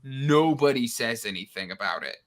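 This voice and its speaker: A man's voice: mid-range voice, animated, normal speed, gravelly. A man in a casual book discussion, speaking with exasperated emphasis and stammering, repeated restarts.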